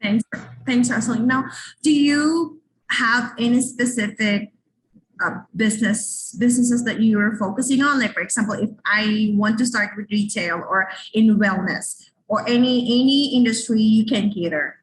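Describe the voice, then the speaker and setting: A woman's voice: fairly high pitch, moderate pace, recorded from a distance, a little hiss or hum, rough recording. A woman hosting an interview, asking questions in a friendly, slightly hesitant way. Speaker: interview host.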